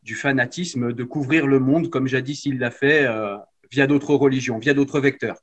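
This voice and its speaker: A man's voice: mid-range voice, steady tone, normal speed, a bit bright, muffled recording. A man hosting an interview, asking friendly questions with some hesitation. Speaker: interviewer, channel host.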